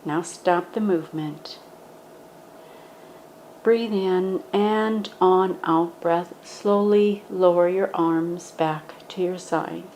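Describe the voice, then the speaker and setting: A woman gently guiding a standing yoga meditation, speaking in a calm, instructive way. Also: lowish voice, slow, slight background noise.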